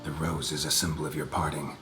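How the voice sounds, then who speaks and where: An actor playing a gruff, stoic middle-aged monster hunter in a video game, gravelly and deadpan with clipped phrases. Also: male voice, extremely low pitch, brisk, echoey room, music in the background. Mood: somber, frank.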